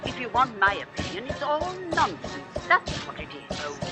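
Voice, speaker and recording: A woman's voice: a little high, very animated, normal speed. A woman playing a grumbling, scornful, no-nonsense housewife in a 1950s radio drama. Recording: vintage radio recording, music behind.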